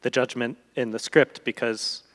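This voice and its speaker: A man's voice: mid-range voice, fairly even intonation, moderate pace. A middle-aged man, a guest translator, speaks in a thoughtful, hesitant way in long winding sentences in an unscripted on-stage conversation. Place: large event hall stage. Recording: echoey hall with long reverb.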